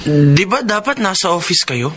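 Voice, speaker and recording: Young son role, Filipino radio drama, higher male voice, casual delivery, noisy ambience. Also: sing-song, normal speed. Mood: puzzled, incredulous. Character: friendly young son, wary of his mother. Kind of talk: Tagalog radio drama acting.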